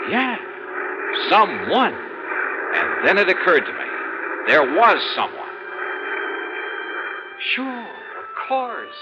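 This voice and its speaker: Wry 1950s American radio investigator, music behind. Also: male voice, fairly high pitch. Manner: brisk, wry first-person storytelling. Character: wisecracking, harried male insurance investigator narrating his case. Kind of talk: 1950s radio detective drama acting and narration. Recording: dull vintage radio recording, music.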